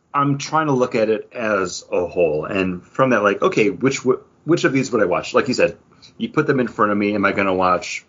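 A man talking casually as a podcast co-host, thinking his opinions through aloud in a measured way. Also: mid-range voice, a bit bright, slightly rough, highs cut off. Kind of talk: casual opinion chat about movie rankings.

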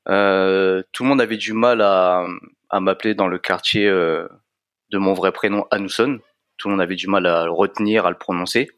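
A man in his thirties from France speaks in a somewhat low voice, relaxed and a little hesitant, filling gaps with small pauses. The sound is dry and close. He talks like an interview guest explaining himself in plain, easy words. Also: dry recording. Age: 30s. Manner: relaxed, hesitant with frequent fillers. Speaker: guest, fitness coach and content creator. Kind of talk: casual interview chat.

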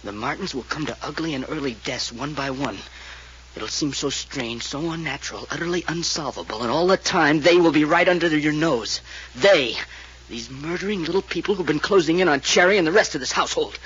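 An actor playing a bitter, frightened, hard-drinking young grandson in a 1940s radio mystery, sullen and sarcastic. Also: male voice, fairly high pitch, brisk, fairly bright tone, breathy edge. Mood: dark, agitated. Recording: muffled old-time radio recording.

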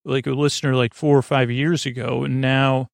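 A man hosting a bedtime podcast in a meandering, hesitant monologue, often interrupting himself, with a gravelly, creaky voice. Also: mid-range voice, level tone, moderate pace, no room sound, clean studio sound. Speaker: podcast host.

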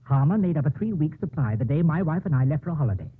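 Tinny, dry old radio sound carries a well-meaning doctor. His fairly high, very husky voice is matter-of-fact and weary, as in a radio play. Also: animated, rapid-fire, no echo. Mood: earnest, insistent. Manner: earnest, explanatory. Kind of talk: 1950s radio acting.

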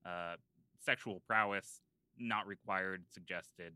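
A man with a very bright, forceful voice on the low side, co-hosting a comedy podcast. He improvises in character, halting and rambling through mock-earnest explanations. The sound is close and dry. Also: steady tone, clean tone, no echo. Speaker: podcast co-host.

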